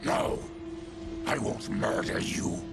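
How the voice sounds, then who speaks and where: An actor voicing a stern, honorable monster warrior in a video game, with a gruff growl and blunt, terse delivery. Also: male voice, on the low side, wildly swooping pitch, slow, loud music behind the voice, exaggerated voice. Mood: stern, merciful. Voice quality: gravelly, growling. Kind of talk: dramatic video game cutscene acting.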